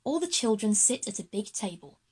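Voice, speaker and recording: A woman's voice: mid-range voice, very animated, brisk, warm tone, slightly rough. A woman narrating an audio drama, reading scripted stage directions.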